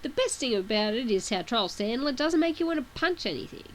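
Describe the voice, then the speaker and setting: A woman's voice: fairly high pitch, lively intonation, a little fast, a little hiss or hum. A woman with a sore throat reading a webcomic aloud, with casual asides between the read text. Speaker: reader of a webcomic.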